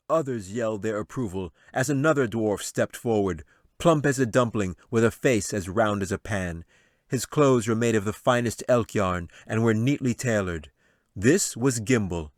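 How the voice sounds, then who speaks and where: A man's voice: on the low side, no room sound, studio quality. A man narrating a fantasy audiobook in a dramatic, scripted storytelling manner. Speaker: author reading his audiobook.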